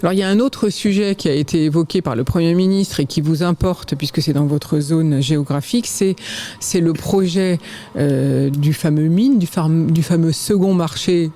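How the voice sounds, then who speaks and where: A man's voice: fairly high pitch, moderate pace, warm tone. A man conducting a conversational interview, hesitating and rephrasing his questions as he goes.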